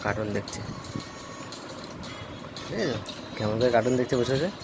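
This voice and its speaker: A man's voice: medium pitch, expressive, moderate pace, vocal fry, background noise. A man talking casually to viewers in a home vlog, often repeating short phrases. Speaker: family vlogger. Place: at home with a small child.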